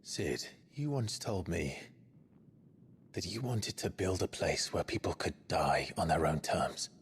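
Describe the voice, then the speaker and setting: A man voicing a brooding, burdened young man in a video game, speaking gravely and earnestly with quiet resolve. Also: low-pitched, lively intonation, a little slow, a little hiss or hum. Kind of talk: dramatic video game acting. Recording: music under the voice.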